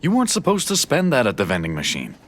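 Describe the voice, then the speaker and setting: A man's voice: mid-range voice, huge pitch swings, quick pace, a bit bright. An actor playing a harried, stern working father who scolds wearily in an audio drama. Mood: exasperated. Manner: scolding, sighing.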